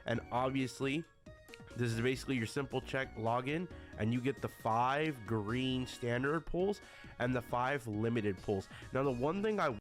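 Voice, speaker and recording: A man's voice: medium pitch, normal speed, soft background music. A man who is a little under the weather, talking casually to viewers about a game update. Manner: addresses viewers often as guys. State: a little sick. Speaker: gaming YouTuber. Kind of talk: casual video commentary.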